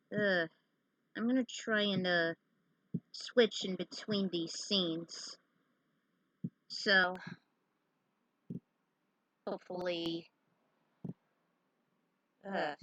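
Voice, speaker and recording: Slow-talking woman reading out her story. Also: on the low side, fairly bright tone, rough recording. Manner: halting, informal, talks to viewers. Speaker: fan fiction author narrating own story. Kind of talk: casual live story reading with asides to viewers.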